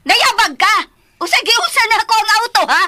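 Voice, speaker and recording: A bossy, teasing grandmother in a Filipino radio drama, with a Cebuano accent. Her high voice swings widely in pitch as she scolds, over loud music. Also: expressive, normal speed. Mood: indignant. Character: bossy, doting grandmother and head of household.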